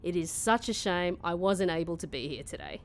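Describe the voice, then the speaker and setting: A woman's voice: fairly low pitch, animated, brisk, a little hiss or hum. Snarky, sarcastic young woman, swooping delivery actress. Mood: mock-sweet. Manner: sarcastic, mock-sweet then dry. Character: sardonic, snarky, grumpy hungover young woman. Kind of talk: comedic fantasy audio drama acting.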